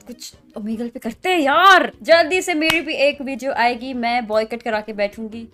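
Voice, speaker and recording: A woman's voice: on the high side, normal speed, pushed and bright, soft background music. A woman in her twenties with an Indian accent, giving casual, playful and exclamatory commentary as a reaction video host. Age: 20s. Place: small bedroom.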